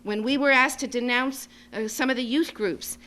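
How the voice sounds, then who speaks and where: A woman gives a semi-improvised public speech as an advocate, making her points emphatically and deliberately in short phrases. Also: sits high, sharp tone. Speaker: advocacy group speaker at a symposium. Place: symposium venue. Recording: noisy live speech recording with hum.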